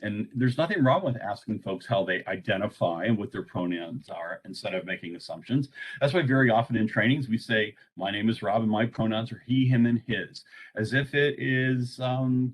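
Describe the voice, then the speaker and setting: A man presenting an informal training on a conference call, speaking in a halting way. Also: mid-range voice, a little hiss or hum, low-quality recording.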